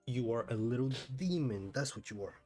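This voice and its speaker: A man's voice: mid-range voice, animated, a little fast, a little mellow. A man chatting casually on a gaming livestream, rambling with wry asides. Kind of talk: casual gaming stream chat. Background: game music.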